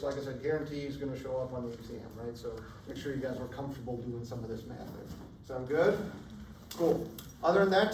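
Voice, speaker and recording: A man lectures on chemistry in a relaxed, chatty way, keeping the class with him through frequent "right?" checks. His somewhat high voice is getting hoarse. He is picked up from across an echoey lecture hall with faint background noise. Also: recorded from a distance. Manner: casual, checks in often with "right?". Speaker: chemistry instructor.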